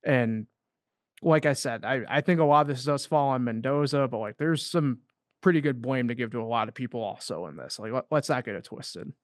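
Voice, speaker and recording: A man's voice: mid-range voice, brisk, no echo, clean studio sound. A man co-hosting a sports podcast, talking casually and loosely with lots of fillers. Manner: loose and rambling, heavy on fillers.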